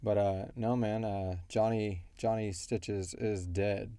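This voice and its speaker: A man's voice: fairly low pitch, fairly even intonation, takes it slowly, mellow, clean tone. A man hosting a casual question-and-answer podcast, talking in an informal, joking, slangy way. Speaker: podcast host and show creator. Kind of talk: casual Q&A discussion.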